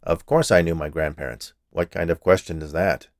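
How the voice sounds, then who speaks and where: A man's voice: low voice, fairly even intonation, normal speed, no room sound, studio quality, voice pushed down. A man voicing a small, fussy and stern male health inspector in an audiobook, curt and grumbling. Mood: curt, irritated. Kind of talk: audiobook character voice.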